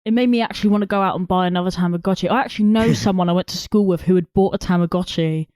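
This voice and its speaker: A woman's voice: on the low side, racing, darkish tone, no echo, clean studio sound. A young woman co-hosting a film podcast, chatting casually in an informal, opinionated way. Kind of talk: casual chat.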